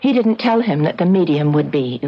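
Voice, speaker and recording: Earnest low-voiced radio-drama woman. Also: animated, normal speed. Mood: uneasy, confiding. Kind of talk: vintage radio drama acting. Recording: muffled old radio recording, faint hiss.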